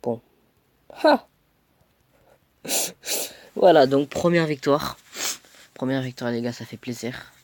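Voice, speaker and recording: A man's voice: medium pitch, slow, pauses often, darkish tone, no echo. A young man with a cold, casually commentating his own mobile game match, chatty and self-mocking, muttering as he plays. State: stuffy with a cold.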